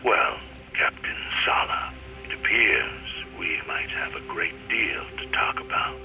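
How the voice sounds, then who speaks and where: Very deep, slow, menacing crime boss. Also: male voice, very animated, gravelly, heavily acted. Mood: imperious menace. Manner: slow, terse, imperious. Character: guarded, menacing powerful male boss of secret consortium. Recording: muffled phone line under loud music.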